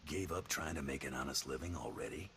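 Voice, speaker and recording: A man playing a dry, guarded bounty hunter in a video game, speaking in a terse, deadpan way. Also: extremely low pitch, brisk, slight background noise.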